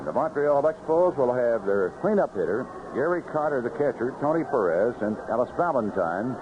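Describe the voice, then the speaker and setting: A man's voice: medium pitch, very animated, normal speed, lo-fi sound, background noise. A man calling baseball play-by-play on 1970s radio in clipped phrases with vivid descriptive calls, on a band-limited old broadcast. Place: ballpark broadcast booth.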